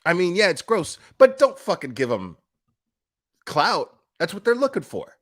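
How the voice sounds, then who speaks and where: A man with a slightly high voice talks off the cuff like an online streamer. His delivery is blunt and emphatic, with coarse, opinionated phrasing in a casual commentary style. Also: wildly swooping pitch, a little slow, a bit bright. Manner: blunt, foul-mouthed, emphatic.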